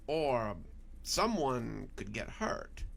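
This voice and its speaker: A man voicing a frightened, insistent mall worker in a horror story, urgent and halting as he gives warnings. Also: mid-range voice, big pitch swings, gravelly, a little hiss or hum, exaggerated voice. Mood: alarmed, insistent. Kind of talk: audiobook horror story dialogue.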